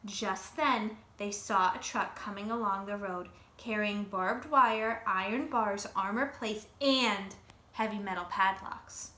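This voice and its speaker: A woman's voice: medium pitch, slow pace, pushed and bright. A woman reading a picture book aloud to children like a teacher, expressively, with questions to her listeners. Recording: roomy echo, faint background noise.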